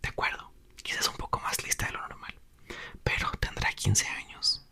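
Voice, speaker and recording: A man's voice: normal speed, pushed and bright. Weary, proud scientist father, whispered by a man reading a storybook. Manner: whispered, only lightly voiced as a character. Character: exhausted but proud male scientist father.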